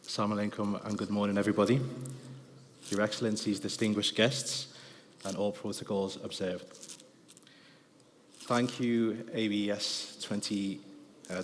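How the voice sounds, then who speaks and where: Man, liverpool accent, lowish voice, brisk conference talk, long hall reverb. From Liverpool, England. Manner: brisk, hurrying through slides. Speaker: conference panel speaker. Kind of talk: business presentation with slides. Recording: distant hall reverb with faint noise.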